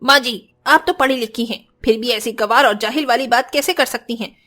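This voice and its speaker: Casting: female actor as kind young cartoon bhabhi, very high voice, earnest delivery. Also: fairly quick, bright, forceful tone, faint music behind. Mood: indignant. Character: kind, protective young sisters-in-law and their gentle young student sister-in-law. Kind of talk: Hindi cartoon story acting.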